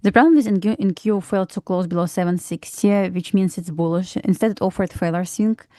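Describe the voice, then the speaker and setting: A woman from Belarus with a Russian accent, giving calm, matter-of-fact market commentary on a live trading stream. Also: lowish voice, fairly quick, no room sound, clean studio sound. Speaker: trader co-host.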